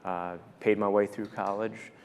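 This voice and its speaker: A man's voice: fairly low pitch, little pitch movement, a little slow, clear, resonant tone. An American man in his thirties or forties answering conversationally as a panelist, wry and understated, on a lapel mic on a large stage. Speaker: panelist, restaurant operations director. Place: large indoor conference stage. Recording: echoey auditorium, faint background noise.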